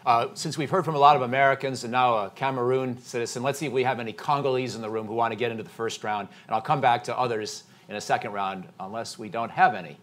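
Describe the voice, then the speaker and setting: Male moderator, quick and brisk, directive. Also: medium pitch, fairly bright tone. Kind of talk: moderating audience Q&A. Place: conference room.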